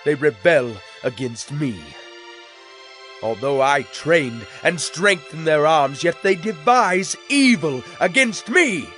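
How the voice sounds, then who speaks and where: Solemn, wrathful God, music underneath. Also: male voice, on the high side, expressive, bright, forceful tone, heavily acted. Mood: anguished anger. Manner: solemn, declamatory, deliberate phrasing. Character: wronged, wrathful male God pronouncing judgment through prophecy. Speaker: dramatized scripture narrator. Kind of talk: dramatic scripture reading. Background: background music.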